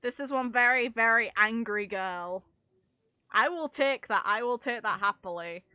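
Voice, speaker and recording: A woman game streamer giving casual, chatty gameplay commentary, thinking aloud in an excitable way. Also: fairly high pitch, measured pace, dry recording.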